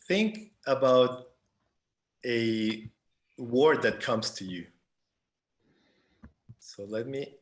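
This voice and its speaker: Slow-speaking man with a Mexican Spanish accent. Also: mid-range voice. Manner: halting, searching for words. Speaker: panelist, conservation photographer. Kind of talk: informal presentation to an online audience.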